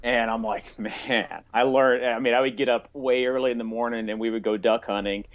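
A man's voice: mid-range voice, fairly even intonation, moderate pace. A middle-aged man from Arkansas, chatting as an interview guest in a folksy, rambling way with many fillers. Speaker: guest, rifle company owner. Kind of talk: casual interview chat. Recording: dull lo-fi audio.

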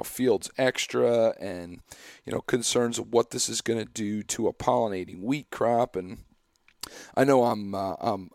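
Hoarse young male podcast host, gravelly and rambling, dry close mic. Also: mid-range voice, dry recording. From Pennsylvania. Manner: rambling, with many fillers and restarts. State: hoarse, losing his voice. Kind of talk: casual chat.

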